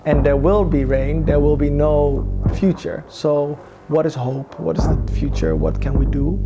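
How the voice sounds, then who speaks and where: Gravelly-voiced man over music. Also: fairly high pitch. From Somalia. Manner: thoughtful, searching for words, non-native English phrasing. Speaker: choreographer. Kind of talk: reflective interview talk. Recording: music playing clearly behind.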